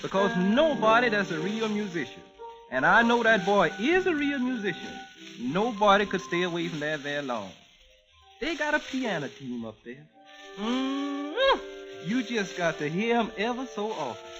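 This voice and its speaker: A kindly, folksy man in an old-time radio drama. His voice is high and very lively in pitch, with a hesitant, reassuring way of talking. Music plays clearly behind him on a dull vintage recording. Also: normal speed. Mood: kindly, confiding. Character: kindly, folksy helpful man advising a searching woman.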